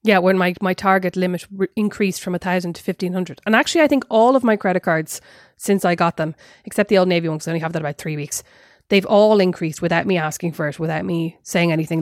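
A woman's voice: fairly low pitch, fairly even intonation, fast talker, hardly any pauses, dry recording, clean studio sound. An Irish woman co-hosting a podcast, chatting in a rambling, self-mocking way. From Ireland. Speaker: podcast co-host. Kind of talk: casual chat.

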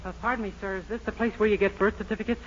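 A man's voice: high voice, steady tone, very fast, close to an everyday voice. A man playing a naive, earnest young bridegroom in a 1940s radio comedy, with a plaintive, literal-minded manner. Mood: puzzled, polite. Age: young adult. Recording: muffled, noisy 1940s radio recording.

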